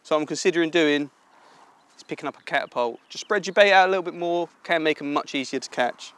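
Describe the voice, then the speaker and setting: A young man coaching listeners through an angling method in a relaxed, explanatory way, speaking partly as a voice-over. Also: on the high side, fast, smooth. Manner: relaxed explanatory, practical tips. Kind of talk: instructional voice-over and commentary. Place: outdoors at a lake.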